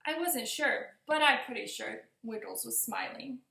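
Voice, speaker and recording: A woman with a high, creaky voice reads a picture book aloud to young children. The delivery is expressive storytelling, directed at the listeners. The room adds a noticeable echo. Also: a little slow. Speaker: teacher reading aloud. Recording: roomy echo.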